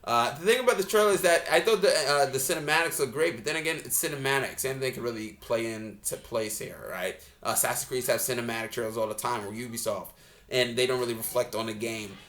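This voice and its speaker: A man's voice: medium pitch, fast, fairly bright tone. A man giving a casual, off-the-cuff reaction commentary as a reviewer, talking in a rambling, blunt way. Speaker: video game trailer reviewer.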